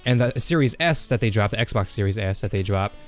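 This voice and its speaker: Young man, low-pitched, excitable gamer chat, faint music behind. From Kansas. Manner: excitable, swears, says "bro" a lot. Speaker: podcast host. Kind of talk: casual gaming chat.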